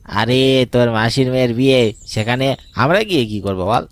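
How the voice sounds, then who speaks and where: A man's voice: mid-range voice, normal speed, no echo, lightly acted. A man playing a friendly, easygoing village man in a cartoon, speaking casually and familiarly. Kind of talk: cartoon dialogue.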